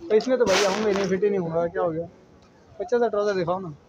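A man's voice: sits high, quick pace. A Pakistani man chats casually as a vlogger, holding the phone himself. The sound is dull and lo-fi, with faint noise behind him. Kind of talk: casual vlog chat. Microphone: handheld phone at arm's length. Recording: muffled phone audio, faint shop noise.

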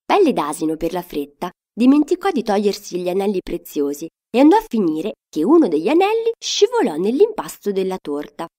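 A woman's voice: medium pitch, wildly swooping pitch, fairly quick, hardly any pauses, dark tone. A woman telling a fairy tale to children, reading a scripted story expressively as narrator.

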